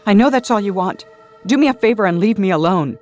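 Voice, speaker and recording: A man's voice: sits high, expressive, fast, soft background music, pitched above the performer's own voice. A man voicing an evasive, irritable addicted woman with an explosive temper in a dramatic audio story. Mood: furious.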